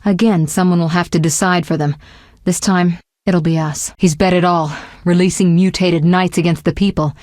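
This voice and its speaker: A composed fantasy game sorceress, played by a woman, speaks in a fairly low voice with very little room sound. She is articulate and measured, caring yet resolute, with a sharp wit and an earnest way of putting things. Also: fairly even intonation, dry recording, studio quality, close to an everyday voice. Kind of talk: fantasy video game voice acting.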